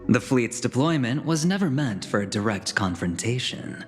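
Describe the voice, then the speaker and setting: A man playing a self-assured, theatrical gambler in a video game. His voice sits at a middle pitch and taunts with smug showmanship. Long reverb surrounds it, with faint music underneath. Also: animated, normal speed, lightly acted.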